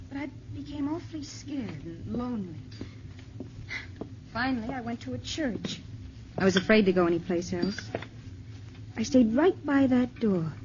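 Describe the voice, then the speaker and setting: A young woman playing a gentle, frightened heroine in a 1950s radio drama, speaking softly and wistfully. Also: fairly high pitch. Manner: soft, wistful. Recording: muffled old radio broadcast with faint hiss.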